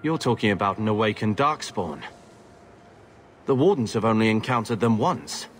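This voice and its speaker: Man acting a low, grave warrior. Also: expressive, moderate pace, slight background noise, lightly acted. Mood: explaining, somber. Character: grave, knowing male warden warrior. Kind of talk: video game cutscene acting.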